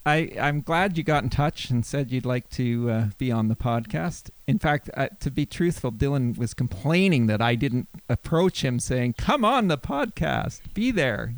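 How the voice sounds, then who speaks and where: A man who hosts a podcast and teaches law, talking casually in a monotone, dry and self-deprecating way. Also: mid-range voice, no room sound. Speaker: podcast host and law professor.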